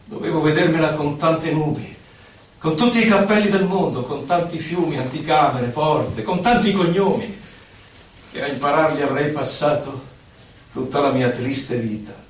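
A man's voice: a little high, normal speed, lightly acted. A man playing an anguished, impassioned poet, reciting verse in a solemn, declamatory, theatrical way. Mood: sombre. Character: anguished male poet on an inward journey, impassioned. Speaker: stage actor. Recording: very echoey hall, distant microphone.

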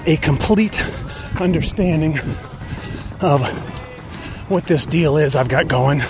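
A man in his fifties hosting a personal podcast monologue, speaking deliberately in short phrases with pauses. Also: over music. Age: 50s. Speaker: podcast host. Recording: noisy outdoor recording with traffic rumble.

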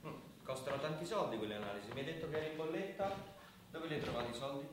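An actor playing a domineering, pragmatic businessman brother in a radio drama, forceful and argumentative, pressing with questions. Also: fairly high pitch, very animated, racing, far from the mic. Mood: sceptical. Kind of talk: naturalistic radio drama acting. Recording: very echoey room, off-mic, noisy radio recording.

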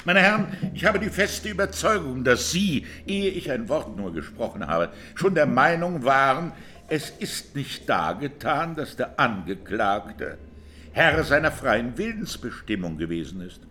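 An actor playing an impassioned, high-minded defence lawyer in a radio play, addressing a jury in solemn, rhetorical style. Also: male voice, very animated, measured pace, sharp tone, slight background noise. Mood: solemn, persuasive. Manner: rhetorical, solemn, dwelling on key words. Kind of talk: courtroom closing speech in a radio play.

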